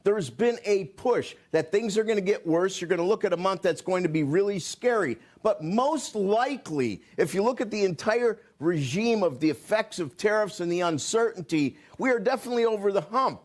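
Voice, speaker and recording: A man's voice: high-pitched, animated, normal speed, hardly any pauses. A man speaking as a market analyst on financial news, assured and heavy on jargon.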